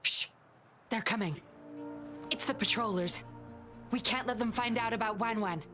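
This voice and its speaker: A woman's voice: a little high, moderate pace, sharp tone, faint music behind. A woman playing a self-assured, teasing young woman in a video game, cool and condescending. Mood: coy, controlling.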